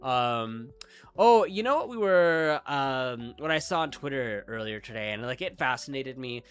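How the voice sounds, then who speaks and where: Chatty Midwestern American guy, lively pitch. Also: a little slow, smooth, soft background music. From Midwestern United States. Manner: rambling, chatty, frequent "like" fillers, self-deprecating. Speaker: streamer. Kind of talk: casual live-stream chat.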